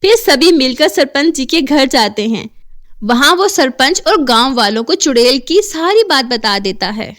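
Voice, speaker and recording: A woman narrating an animated horror tale as a scripted storyteller. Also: sits high, measured pace.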